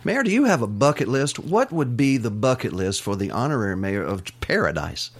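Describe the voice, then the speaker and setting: A man's voice: medium pitch, big pitch swings, fairly quick. A man hosting a weekly radio countdown show, upbeat and announcer-like, often laughing. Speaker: radio host. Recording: music playing underneath.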